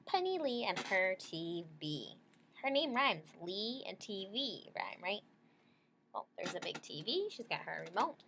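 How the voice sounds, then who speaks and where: A woman reads a picture book aloud to young children at a middling pitch. She breaks off for casual, simple explanations and often checks in with short tag questions. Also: sing-song, unhurried, vocal fry, noisy background. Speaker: storybook reader for children.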